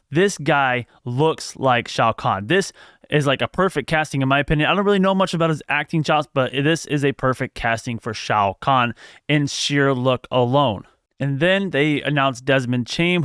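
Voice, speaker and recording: Male video host, conversational and opinionated, smooth clear voice, dry close sound. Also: mid-range voice, fairly bright tone, dry recording. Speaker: video host giving movie news. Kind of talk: casual news commentary with opinions.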